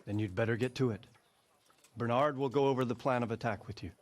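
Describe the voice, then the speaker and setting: A man playing a stern, weary medieval lord in a game, giving orders in a measured, formal way. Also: mid-range voice, slight background noise, lightly acted. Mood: commanding. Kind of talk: dramatic game cutscene acting.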